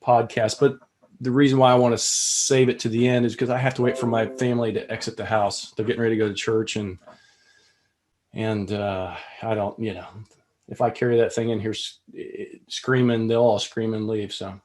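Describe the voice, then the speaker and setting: A man's voice: on the low side, flat delivery, normal speed, a little mellow. A man co-hosting a podcast, chatting casually in a rambling, self-deprecating way with many fillers. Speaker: co-host.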